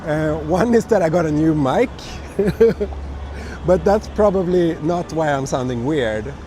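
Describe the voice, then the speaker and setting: A man with a hoarse voice from a cold, hosting a relaxed live walking stream and chatting with viewers through a clip-on mic. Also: on the high side, slow. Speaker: livestream host. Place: busy city streets outdoors at night. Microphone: small wireless clip-on mic. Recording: outdoor street noise. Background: city traffic and street noise.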